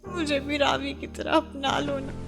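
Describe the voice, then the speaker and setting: An actress playing a hurt, insistent young wife in an intense, emotionally raw radio drama. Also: female voice, high voice, fairly even intonation, measured pace, creaky, music in the background. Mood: tearful, pleading. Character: hurt, insistent young wife, once widowed, pleading for love. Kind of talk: Hindi radio drama acting.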